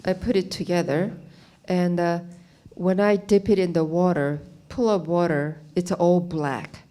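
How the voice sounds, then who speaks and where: Halting, soft-voiced woman artist. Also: on the low side, fairly even intonation. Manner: halting, plain non-native English with many "you know" fillers. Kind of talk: gallery talk about her art. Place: gallery, speaking to an audience.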